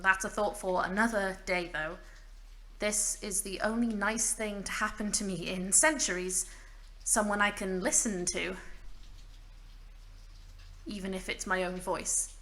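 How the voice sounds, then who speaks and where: A woman's voice: medium pitch, pushed and bright. A woman playing a stranded, centuries-lonely mage in an audio drama, wry and bitter yet fragile, rambling in self-mocking asides. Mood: amazed, pleased. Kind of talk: audio drama monologue, naturalistic acting.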